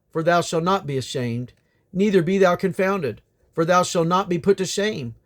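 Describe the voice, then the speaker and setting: A man with a somewhat high-pitched voice reads scripture aloud. His delivery is solemn and declamatory, each phrase set out deliberately. The ends of his phrases tend to fall away. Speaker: Bible reader.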